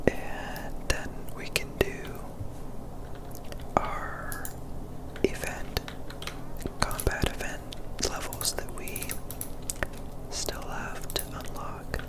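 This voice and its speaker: A person whispering softly and casually in ASMR gameplay commentary, thinking aloud while playing, close to the microphone. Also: drawn-out words, pauses often, low-quality recording. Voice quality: soft unvoiced whisper. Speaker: gaming ASMR creator.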